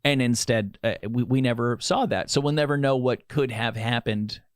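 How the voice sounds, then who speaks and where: A man co-hosting a talk show, speaking candidly and casually, halting and restarting as he circles toward his point. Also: mid-range voice, no room sound, clean studio sound.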